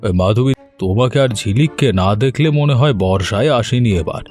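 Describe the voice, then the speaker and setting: A man playing a good-natured, flattering middle-aged village farmer in an animated story, folksy and wheedling. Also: medium pitch, moderate pace, soft background music, lightly acted.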